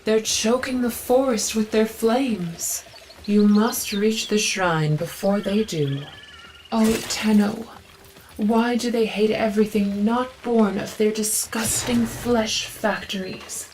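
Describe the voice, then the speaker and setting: A woman voicing a solemn, protective female forest ally in a video game, hushed and urgent. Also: medium pitch, slow pace, noisy background, natural voice. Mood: urgent, bitter. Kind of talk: dramatic video game voice acting.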